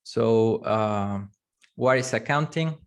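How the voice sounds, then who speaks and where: Slow, halting male instructor, Spanish native. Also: lowish voice. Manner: halting, many fillers and restarts. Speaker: course instructor. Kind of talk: live online class lecture.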